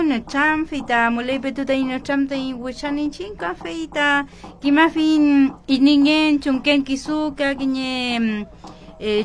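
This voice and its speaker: A woman reading out a long list of greetings to people on the radio in a sing-song way. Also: high-pitched.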